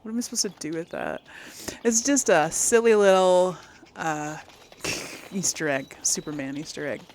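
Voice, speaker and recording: A woman's voice: fairly low pitch, moderate pace, a little mellow. A woman giving casual let's play commentary, thinking aloud as she goes. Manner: thinking aloud, reading quest text aloud.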